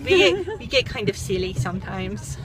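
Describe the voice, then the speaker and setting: A woman's voice: medium pitch, sing-song, normal speed. A woman vlogging in a casual, chatty way, rambling with lots of fillers. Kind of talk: casual chat to camera. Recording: noisy background hum.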